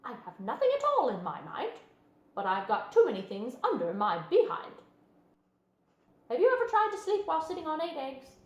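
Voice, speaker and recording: Woman doing a high, blunt mother goose. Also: wildly swooping pitch, normal speed, roomy sound, lo-fi sound. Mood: dismissive. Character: blunt, unbothered mother goose, broody on her eggs.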